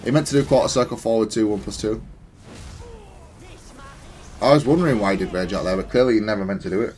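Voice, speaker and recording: A man's voice: lowish voice, fast talker, darkish tone. A man giving matter-of-fact, analytical commentary on gameplay, thinking aloud in a stop-start way. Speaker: gamer explaining own match replay.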